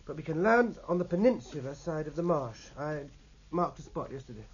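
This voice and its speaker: High husky British young naval lieutenant. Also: male voice, expressive, fairly quick. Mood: quietly persuasive. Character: keen, deferential young naval lieutenant, quietly confident. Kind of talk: 1950s radio drama acting. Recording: muffled vintage radio recording.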